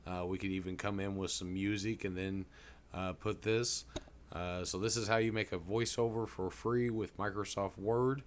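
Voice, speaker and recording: Very deep-voiced man giving a casual tutorial. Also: little pitch movement, moderate pace. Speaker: tutorial presenter. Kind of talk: casual screen-recorded software tutorial. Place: indoors at a computer. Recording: clear background noise.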